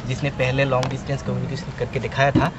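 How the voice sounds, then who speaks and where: A man's voice: medium pitch, monotone, fast. A middle-aged man with an Indian accent giving an informal explanatory talk as a ham radio trainer, using English terms and everyday examples. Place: outdoors at an urban portable radio station. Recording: muffled outdoor recording, clear traffic noise.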